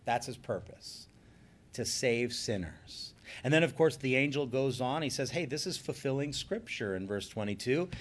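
A man preaching a sermon, earnest and emphatic, slowing down on key phrases and then leading a closing prayer. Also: mid-range voice, lively intonation. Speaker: pastor.

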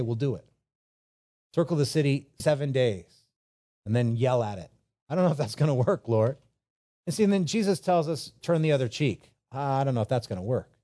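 A man's voice: mid-range voice, mellow. A man preaching a conversational Bible sermon, informally acting out imagined replies and repeating phrases for emphasis. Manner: rhetorical questions and repetition for emphasis.

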